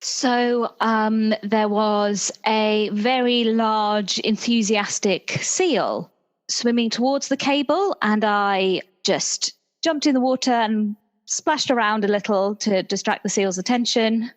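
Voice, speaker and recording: A woman's voice: on the high side, slow. Echoey, polite woman secret agent, acted. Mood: earnest. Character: female field agent earnestly defending her own exploits. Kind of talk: improvised roleplay audio drama. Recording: long reverberant room echo.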